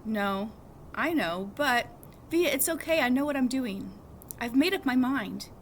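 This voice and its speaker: Storybook kid brother, played by a woman reader: stubborn young schoolboy, high and curt, with noise behind. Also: lively intonation, unhurried. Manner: curt, defiant, emphatic. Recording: clearly audible background noise.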